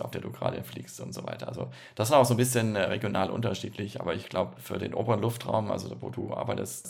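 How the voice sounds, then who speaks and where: A man's voice: deep voice, creaky. A man hosting a podcast, reading out listener questions and explaining aviation terms along the way. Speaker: podcast host. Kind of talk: casual podcast chat.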